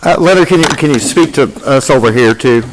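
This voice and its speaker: A man in the audience calling out loudly to the speakers. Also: medium pitch, animated, fairly quick, background noise. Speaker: audience member.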